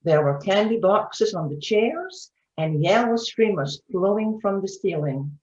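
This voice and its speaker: A woman's voice: mid-range voice, sing-song, a little slow, a little mellow. A woman reading a children's storybook aloud as a narrator. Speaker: reader in a readers theatre. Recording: roomy, dull lo-fi sound.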